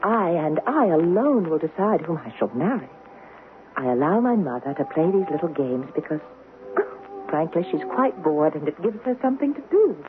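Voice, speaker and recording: A woman's voice: sits low, sing-song, normal speed. A genteel young society lady in an old radio play. She is spirited and theatrical, with intonation that swings widely. The sound is narrow and vintage, with light hiss. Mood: reassuring. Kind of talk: old-time radio drama acting.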